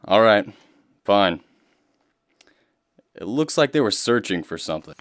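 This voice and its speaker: An actor playing a concerned law-enforcement handler in a radio drama, serious and terse. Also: male voice, fairly low pitch, very animated, a little slow, fairly bright tone, no room sound, studio quality. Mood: businesslike.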